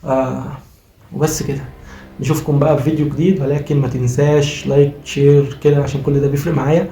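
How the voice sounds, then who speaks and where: An Egyptian man talks football at breakneck speed in a fairly high voice that sounds strained and worn from cheering. He argues emphatically and repeats his points like a fired-up fan. The room is noticeably echoey. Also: flat delivery, quick pace, dark tone, slight background noise. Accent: Egyptian Arabic. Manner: emphatic, argumentative, repeats phrases. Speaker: football vlogger. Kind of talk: impassioned post-match fan commentary. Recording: roomy echo, faint music behind.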